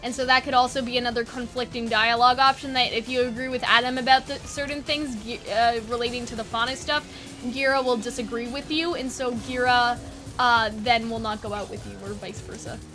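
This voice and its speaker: A woman with a high, bright voice talks in a loose, casual way, as if thinking out loud for her online audience. Her delivery is chatty and informal. Faint music plays behind her. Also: fairly even intonation, brisk. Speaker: online video creator and host. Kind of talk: casual fan brainstorming chat.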